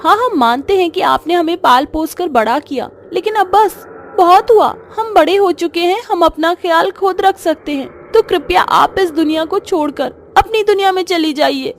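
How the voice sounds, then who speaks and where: A woman's voice: very high voice, animated, normal speed, slight background noise, pitched above the performer's own voice. A woman voicing the upset young daughter of a witch in a horror story, speaking in an earnest, pleading manner. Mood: pleading, firm.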